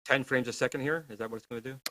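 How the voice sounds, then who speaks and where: A man's voice: medium pitch, fairly even intonation, very fast, a crowd in the background. A man co-hosting a casual show, talking in an exclamatory, wisecracking way. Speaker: co-host. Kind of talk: casual, joking banter.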